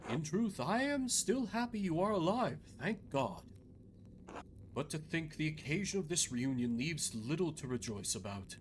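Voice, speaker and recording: A game player voicing a weary, regretful male priest with a formal, measured, soothing manner, over music. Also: mid-range voice, very animated, slight background noise, lightly acted, low-quality recording. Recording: music under the voice.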